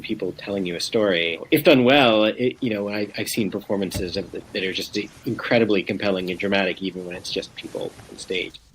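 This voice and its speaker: A man's voice: low-pitched, a little fast, few pauses, fairly bright tone, a little hiss or hum, poor audio. A man talking informally as a playwright in a panel discussion.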